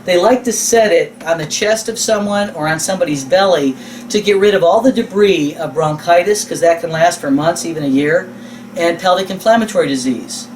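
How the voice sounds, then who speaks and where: A man's voice: sits high, fairly quick. A man teaching in a conversational lecture style, folksy and informal, stressing key words and posing rhetorical questions. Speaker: instructor. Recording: roomy, distant mic, faint background noise.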